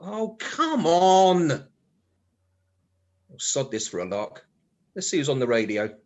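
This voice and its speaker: An actor with a British accent playing a wry, grumbling husband with a husky voice in a radio comedy. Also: male voice, on the high side, very animated, slow pace. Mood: bored, grumbling. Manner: sardonic, grumbling, exclamatory. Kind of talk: radio play comedy acting, muttered monologue.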